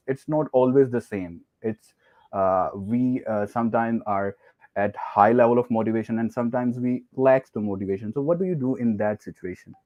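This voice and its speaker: A man's voice: mid-range voice, no room sound. A man with a Pakistani English accent hosting an online tech event on a video call, formal and polite. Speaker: event host. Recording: dull lo-fi sound.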